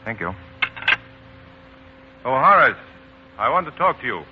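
An actor playing a brisk, bewildered gentleman, in 1940s radio drama style. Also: medium pitch, very animated. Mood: exasperated. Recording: muffled, hissy 1940s radio broadcast.

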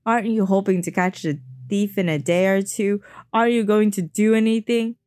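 A woman voicing an exasperated young man who protests loudly in a read-aloud novel. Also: mid-range voice, steady tone, normal speed, clear, resonant tone, dry recording, studio quality, close to an everyday voice. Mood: indignant. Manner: loud, indignant.

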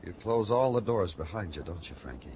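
An American actor playing a terse, world-weary police detective, brooding and clipped, in a noir radio drama from the fifties. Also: male voice, sits low, lively intonation, mellow, lo-fi sound, slight background noise. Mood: hard, sardonic. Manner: clipped, brooding, deliberate, hard-boiled. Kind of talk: 1950s noir radio drama narration and dialogue.

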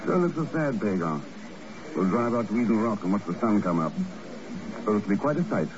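An actor playing a cool, unflappable secret agent in a 1950s radio drama, calm, dry and understated. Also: male voice, fairly low pitch, a little fast, a crowd in the background. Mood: casual, suave. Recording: noisy muffled old radio recording.

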